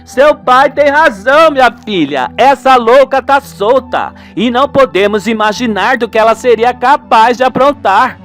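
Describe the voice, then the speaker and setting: A man's voice: very high-pitched, animated, moderate pace, few pauses, a bit bright, faint music behind, voice pushed up. A man voicing an anxious mother in a radio soap, fretful, emphatic and protective of her daughter.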